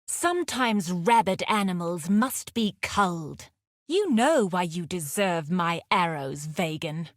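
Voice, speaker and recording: A fierce female hunter of the wilds in a video game. She is grim and blunt, weighing her words with slow, grave deliberation. The sound is close and dry. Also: fairly low pitch, expressive, no echo. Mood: menacing, defiant. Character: fierce, grim female wilderness huntress and beast scholar. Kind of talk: scripted video game character lines.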